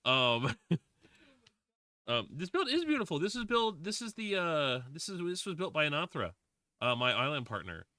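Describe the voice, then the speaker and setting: Young male streamer in his twenties, casual filler-heavy chatter, bright voice, dry sound. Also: on the high side, very animated, moderate pace, dry recording. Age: 20s. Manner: rambling, with many fillers and restarts. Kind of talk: casual livestream chat while playing a game.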